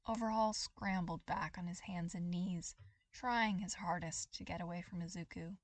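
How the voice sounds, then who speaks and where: A woman reading a story aloud as scripted, expressive dramatic narration. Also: on the low side, moderate pace, no room sound. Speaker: podfic reader.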